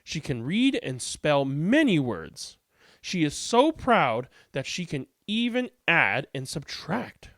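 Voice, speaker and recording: A man's voice: a little high, big pitch swings, takes it slowly, a bit bright, no echo, studio quality. A man hosting a read-aloud, reading picture books expressively and commenting informally. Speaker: read-aloud host and storyteller.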